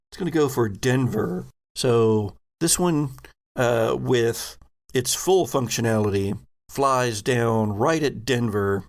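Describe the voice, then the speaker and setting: A man running a tabletop game as game master, improvising narration in a deliberate, descriptive way. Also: mid-range voice, gravelly.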